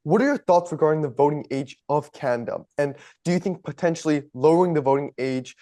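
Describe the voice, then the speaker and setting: A man's voice: fairly high pitch, lively intonation, quick pace, mellow. A young man hosting an interview, asking prepared questions in a polite, earnest way. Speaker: interviewer, podcast host.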